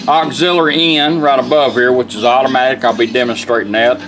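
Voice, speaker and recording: A man's voice: mid-range voice, fairly even intonation, brisk, fairly bright tone, faint music behind, rough recording. A plain-spoken man giving a casual hands-on product demonstration in a folksy way.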